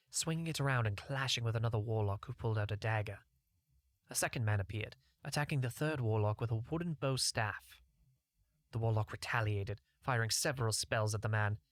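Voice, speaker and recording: A male audiobook narrator reads scripted first-person fiction. His voice sits at a middle pitch, and the recording is dry and close. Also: fairly bright tone, dry recording.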